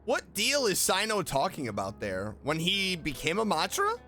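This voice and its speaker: Male reaction host, higher-pitched and bright, expressive casual commentary, light music behind. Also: moderate pace.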